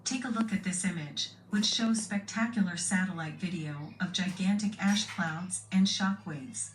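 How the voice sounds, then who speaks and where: A woman delivers a scripted breaking news report as an anchor. Her voice is on the low side, heard through a distant, echoey recording with clear noise. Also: moderate pace. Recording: noisy, echoey playback from far off.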